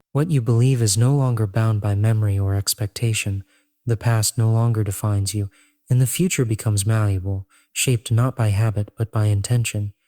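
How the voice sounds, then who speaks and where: A man narrating a scripted guided sleep meditation in a solemn, measured, earnest way. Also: lowish voice, warm tone, dry recording.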